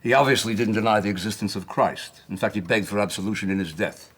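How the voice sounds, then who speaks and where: An actor playing an impassioned defender of a banned book, arguing with pointed questions in a period drama. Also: male voice, fairly low pitch, fast talker, fairly bright tone, breathy edge.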